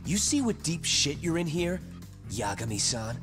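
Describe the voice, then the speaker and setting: A man's voice: on the high side, big pitch swings, normal speed, over music. An actor playing a brash young male delinquent henchman in an English game dub, slangy and taunting. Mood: threatening. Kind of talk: English video game dub cutscene acting.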